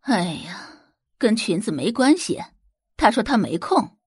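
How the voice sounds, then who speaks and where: A woman's voice: mid-range voice, big pitch swings, measured pace, a little mellow, breathy edge, no echo, clean studio sound, heavily acted. A woman voicing an irritable, scornful mother with a huffy, scolding manner in an audio novel. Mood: annoyed, scornful.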